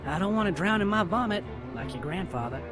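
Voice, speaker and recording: A man's voice: high voice, lively intonation, quick pace, music in the background. A man voicing a drunken mother in a comedic cartoon parody, motherly and matter-of-fact.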